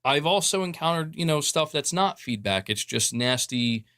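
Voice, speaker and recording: A man on a stream speaking softly and hesitantly about harsh comments. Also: on the high side, animated, normal speed, dry recording, clean studio sound. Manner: soft, subdued, hesitant. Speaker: streamer in played clip. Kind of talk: casual live-stream talk.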